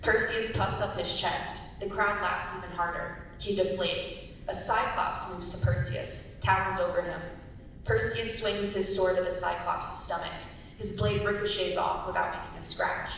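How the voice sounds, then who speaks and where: A woman's voice: animated, normal speed, vocal fry, fairly bright tone. A young woman reading stage directions as scripted narration at a dramatic reading. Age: young adult. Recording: very echoey hall, distant mic, hiss and rumble.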